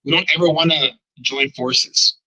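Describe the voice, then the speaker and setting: A man's voice: a little high, normal speed, poor audio. A man with a congested voice from a cold, talking animatedly in a casual podcast chat. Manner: animated, emphatic, rambling. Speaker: podcast co-host.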